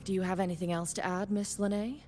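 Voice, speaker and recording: An actress voicing a gentle, protective academy staff member in a video game. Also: female voice, medium pitch, steady tone, quick pace, faint music behind, close to an everyday voice. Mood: gentle, firm.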